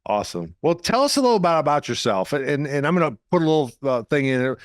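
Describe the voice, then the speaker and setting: A man's voice: on the high side, very animated, fast talker, no room sound, studio quality. A man hosting an interview show, talking in an enthusiastic, chatty way and often repeating words. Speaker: show host.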